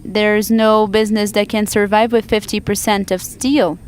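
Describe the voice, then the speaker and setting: A woman interpreting a panel discussion live into English from a conference booth, halting often to restart and correct her words. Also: medium pitch, flat delivery, smooth, faint music behind.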